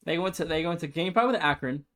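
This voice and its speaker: A man's voice: fairly high pitch, expressive, fast, a bit bright. A man co-hosting a sports podcast, analyzing results and past seasons in an emphatic, opinionated way.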